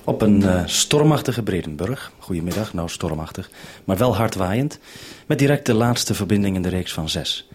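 A man's voice: low voice, expressive, moderate pace, a little hiss or hum. A man with a Netherlandic Dutch accent presenting and interviewing over a live radio link in a vintage 1970s broadcast.

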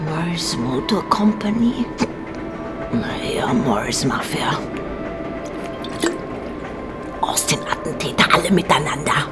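An actress playing a coarse, bitter widow in a radio play, muttering conspiratorially and melodramatically. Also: female voice, low voice, expressive, unhurried, whispered, over loud music.

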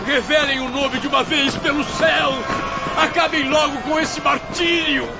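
An actor playing a desperate, arrogant male spirit in a radio drama who shouts, pleads and demands. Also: very high-pitched, steady tone, normal speed. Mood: impatient. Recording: dull audio under loud music and crowd noise.

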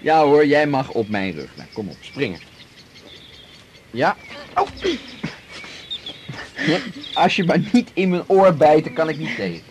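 An actor playing a wealthy, gentle farmer who coaxes and teases a woman in a radio drama. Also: big pitch swings, measured pace, pauses often, noisy background. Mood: playful. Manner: low-key, coaxing, playful. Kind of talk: 1980s radio drama acting.

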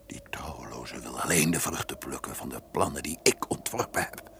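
A conspiring count in a radio play, with a rumbling, very low voice and heavy creak. He insinuates and schemes with deliberate, resentful menace. The old recording carries some noise. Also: a bit bright, exaggerated voice. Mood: vengeful. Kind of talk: Dutch radio drama acting.

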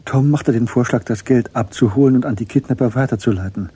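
A man narrating a radio crime drama in a measured, matter-of-fact way as its storyteller. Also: mid-range voice, warm tone, slightly rough.

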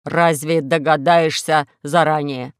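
A woman voicing a gloomy, melodramatic male former combat robot in a slow, mournful, drawn-out manner for a children's story. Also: on the low side, steady tone, a bit bright, smooth, no echo, studio quality. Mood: gloomy, resigned.

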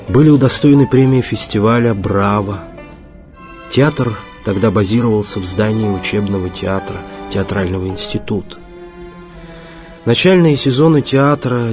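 Man, low voice, formal radio narration, deliberate delivery, dramatic pauses, faint music underneath. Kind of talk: scripted documentary narration for radio. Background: faint music behind the voice.

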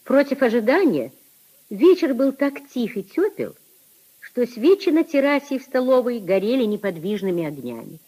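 A woman narrating a literary radio play in a 1950s style, with a husky voice. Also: fairly high pitch, very animated, moderate pace, dark tone, low-quality recording.

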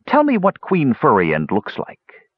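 A man's voice: mid-range voice, huge pitch swings, a little slow, husky, no echo, highs cut off, pitched above the performer's own voice, heavily acted. Man playing a vengeful hunchbacked dwarf sorcerer. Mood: scornful. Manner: cold, clipped, threatening.

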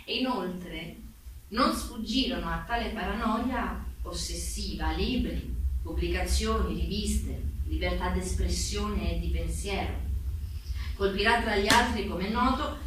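A woman narrating a theatre piece from a script, solemn and declamatory, with deliberate phrasing. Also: medium pitch. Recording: distant mic, very echoey room, faint noise.

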